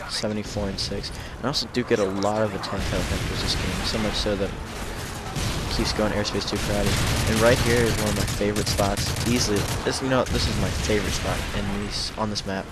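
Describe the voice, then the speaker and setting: Hoarse teen gamer, low-pitched, restarts often, casual commentary over loud gunfire. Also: male voice, fairly even intonation, fairly quick, heavy background noise. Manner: rambling, self-correcting, with frequent restarts. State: hoarse and sick. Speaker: gameplay commentator. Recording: loud gunfire from the game behind.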